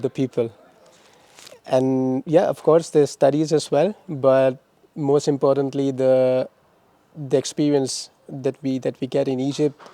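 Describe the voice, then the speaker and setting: A young man from Pakistan talks through interview questions in a halting way, often restarting and repeating words. His voice is mellow and dark, and his pitch stays flat and even. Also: no echo. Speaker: interviewee, former master's student. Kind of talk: casual interview answers.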